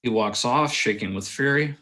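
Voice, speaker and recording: A man's voice: medium pitch, moderate pace, bright, forceful tone. Man reading screenplay stage directions. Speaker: reader of stage directions at a table read.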